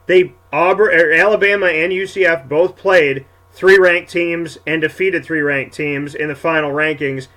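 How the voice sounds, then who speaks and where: A man with a high, bright voice delivers sports commentary as a show host. He argues emphatically and circles back to hammer his points home. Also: fairly even intonation, moderate pace, hardly any pauses, rough recording. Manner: emphatic, argumentative, repeats points for effect.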